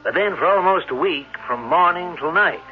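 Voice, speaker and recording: A man's voice: high voice, expressive, moderate pace. An actor playing an earnest, mild townsman who tells the tale in an old-time radio drama. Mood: earnest, recounting. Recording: muffled vintage radio, faint music.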